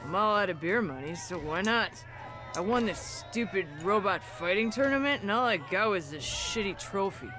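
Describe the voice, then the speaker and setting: A woman's voice: mid-range voice, expressive, moderate pace, close to an everyday voice. An actress playing a brash, hard-drinking young woman in a video game, wisecracking and swearing freely. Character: brash young woman brawler, foul-mouthed and boozy.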